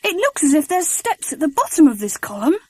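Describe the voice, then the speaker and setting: A woman's voice: high-pitched, sing-song, a little fast, darkish tone, no room sound. A woman playing eager, exclamatory children in an adventure audiobook. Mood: excited. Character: excitable adventurous children, boys and girls.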